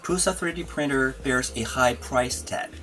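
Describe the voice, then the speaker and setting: A man's voice: mid-range voice, normal speed, a bit bright. A man giving scripted tutorial narration as a maker presenting an electronics build. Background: background music.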